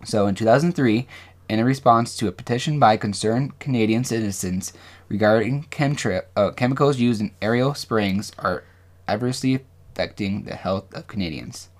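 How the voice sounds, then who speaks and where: A man co-hosting a podcast, talking casually and reading from notes, with halting, rambling explanations. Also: fairly low pitch. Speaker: podcast co-host. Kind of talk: casual discussion with some reading aloud from notes.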